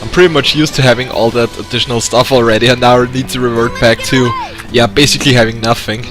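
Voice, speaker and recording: A man's voice: mid-range voice, fairly even intonation, fast. A man with a German accent, a native German speaker, chatting casually with viewers while playing a video game, rambling and restarting his sentences. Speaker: speedrunner. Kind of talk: casual live-stream commentary over gameplay. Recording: faint game music behind.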